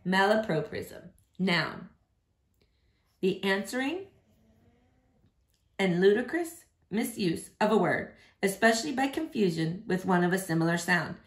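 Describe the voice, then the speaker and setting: A woman reading a children's novel aloud in an expressive storytelling style. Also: medium pitch, a little slow, long pauses. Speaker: storybook reader.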